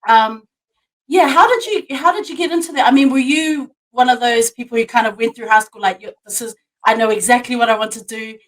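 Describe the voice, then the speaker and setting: Bubbly high-voiced woman podcast host. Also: fairly quick, rough recording. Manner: bubbly, laughs often, says "sis" and "fam". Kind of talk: casual video podcast chat. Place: Japan.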